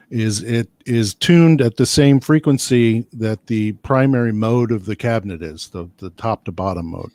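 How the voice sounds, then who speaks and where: A man's voice: on the low side, a little mellow, dry recording. A man engineer explaining speaker design in a loose, technical panel chat, full of restarts and asides. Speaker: loudspeaker engineer.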